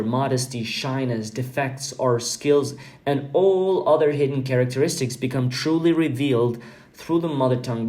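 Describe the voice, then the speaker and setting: A man whose first language is Albanian, hosting a podcast in an earnest, reflective monologue, often pausing with fillers and repeating words. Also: medium pitch, darkish tone. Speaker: podcast host.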